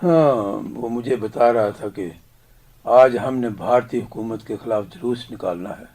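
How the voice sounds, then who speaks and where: A man's voice: medium pitch, unhurried, warm tone, lightly acted. An actor playing a dignified, defiant Kashmiri father in a radio drama, speaking in a grave, emphatic way. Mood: concerned.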